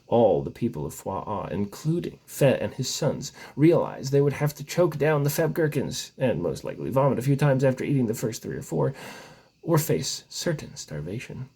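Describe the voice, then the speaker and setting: A man reads a comic story as if it were scripture. His voice is husky and mid-pitched, and it moves up and down a great deal. The delivery is theatrical and mock-solemn. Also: big pitch swings, moderate pace, dark tone. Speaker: story reader. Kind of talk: reading a mock-biblical comic tale aloud.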